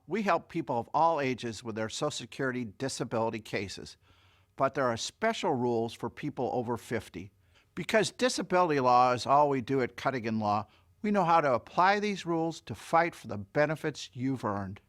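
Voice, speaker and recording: A man's voice: mid-range voice, animated, normal speed, fairly bright tone. A man delivering a scripted advertisement as a spokesman. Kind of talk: scripted commercial pitch.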